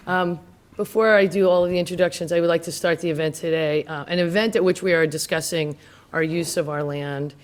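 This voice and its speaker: A woman moderating a town housing panel, speaking in an earnest, measured and formal way into a handheld microphone in a meeting room. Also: sits low. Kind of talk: panel moderation with land acknowledgement.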